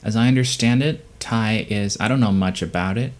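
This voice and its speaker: A man's voice: fairly low pitch, normal speed. A man speaking as a guest over a video call, confident and laid-back.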